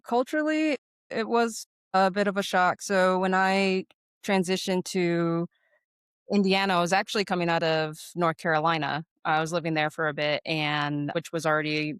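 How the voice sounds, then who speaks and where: A woman's voice: on the low side, steady tone, moderate pace, clear, resonant tone, no echo, clean studio sound. A woman from Arizona with an American accent, telling stories in a casual, candid, informal way as an interview guest. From Phoenix, Arizona, United States. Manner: candid, informal, often quotes remembered exchanges. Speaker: interview guest, air traffic controller and former Marine. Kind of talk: casual conversational storytelling.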